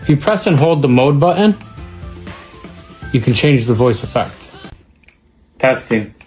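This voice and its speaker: A woman's voice: a bass voice, animated, measured pace, darkish tone, soft background music. A woman giving a casual, plain-spoken product demonstration in an informal way. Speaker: product reviewer.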